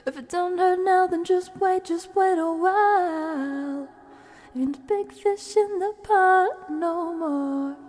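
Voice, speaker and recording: Woman, casual sing-along streamer, unhurried, playful soft asides, faint music behind. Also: extremely high pitch. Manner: playful, drops into whispered asides. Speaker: livestreaming ASMR creator. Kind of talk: casual karaoke-style singing with soft chatty asides. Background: music playing from a TV.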